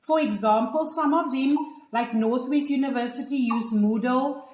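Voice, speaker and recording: A woman with a high voice hosts an online session for applicants. She gives advice and often repeats a word while she finds the next one. Her voice sounds roomy, like a video call. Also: normal speed, darkish tone. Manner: guiding, repeats words as she thinks. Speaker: session host advising applicants.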